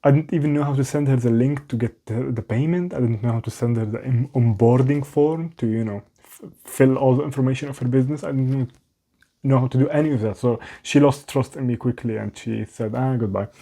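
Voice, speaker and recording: Young man with a foreign accent, mellow voice. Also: medium pitch, a little fast. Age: young adult. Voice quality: non-native English accent. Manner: rambling, self-mocking, restarts often. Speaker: vlogger. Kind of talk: candid talk to camera. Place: small room at home.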